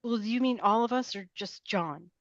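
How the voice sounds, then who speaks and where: A woman reading the part of a withdrawn, gloomy misfit teenage girl in a script table read, quiet and subdued. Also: fairly high pitch, moderate pace, no echo, dull audio, natural voice. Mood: guarded.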